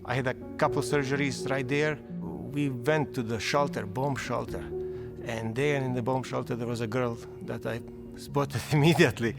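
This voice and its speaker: A man in his fifties, originally from Bosnia, speaking English with a Bosnian accent in an interview, halting and repeating words as he searches for phrasing. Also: mid-range voice, fairly even intonation, creaky. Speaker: award recipient. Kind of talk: recorded interview testimonial. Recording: music bed underneath. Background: music.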